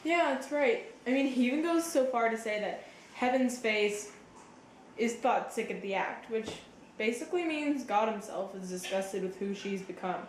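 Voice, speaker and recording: Woman as a patient explainer chatting through shakespeare, highish voice, echoey room with a bit of hiss. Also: lively intonation, normal speed, natural voice. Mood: explanatory. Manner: explanatory, casual. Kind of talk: conversational literary commentary. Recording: roomy echo, faint background noise.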